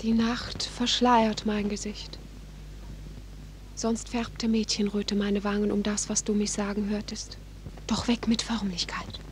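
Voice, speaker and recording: A woman playing an ardent, anxious girl in love in a 1940s radio play, speaking classical verse in a breathy, hushed declamation. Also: medium pitch, level tone, a little slow, natural voice. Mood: shy, earnest. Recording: hissy 1940s radio recording.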